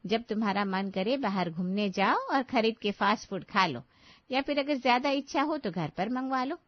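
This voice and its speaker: A woman's voice: a little high, animated, normal speed, no echo, lo-fi sound. A woman voicing an indulgent older mother who scolds fondly in a story. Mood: fond scolding.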